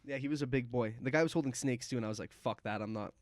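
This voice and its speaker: A man's voice: mid-range voice, racing. A man co-hosting a podcast in laid-back, teasing banter. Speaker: podcast co-host. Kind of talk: casual chat. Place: basement.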